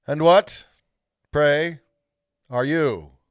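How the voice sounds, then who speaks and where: A haughty, cold great lady of a fantasy storybook, voiced by a man. She is loud and commanding, pitched above the reader's own voice, with emphatic delivery in a dry recording. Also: drawn-out words, clear, resonant tone, dry recording. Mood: imperious demand.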